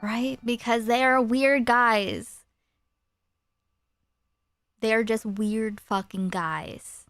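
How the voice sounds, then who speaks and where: Slow-talking woman on a dry mic. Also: fairly high pitch, steady tone, a bit bright, no echo, faint music behind. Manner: slow, meandering, swearing casually. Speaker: streamer host. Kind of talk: casual live-stream chat.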